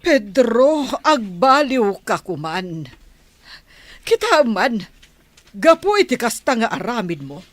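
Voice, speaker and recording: A woman's voice: a little high, sing-song, slow, no room sound, heavily acted. A woman with a Filipino accent playing a worried, reproachful middle-aged village mother in a radio drama.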